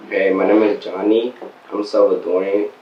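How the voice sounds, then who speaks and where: Male cast member, earnest, deep monotone, roomy lo-fi. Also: moderate pace. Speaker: actor in the film. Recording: roomy, distant, dull sound.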